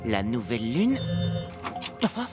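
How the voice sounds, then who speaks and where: Bold, keen young cartoon adventurer. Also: female voice, extremely low pitch, wildly swooping pitch, slow, over music, exaggerated voice. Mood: focused. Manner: keen, determined. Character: bold, curious young adventurer who loves puzzles. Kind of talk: animated children's cartoon acting.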